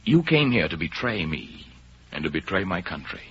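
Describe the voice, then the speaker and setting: A man's voice: low-pitched, sing-song, normal speed, a bit bright, slightly rough, exaggerated voice. A man playing an earnest, lovestruck aristocratic count in a 1930s radio drama, speaking in a declamatory, romantic way. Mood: grave, confiding. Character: ardent aristocratic count, earnest lover and patriot. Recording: muffled old 1930s radio recording with music.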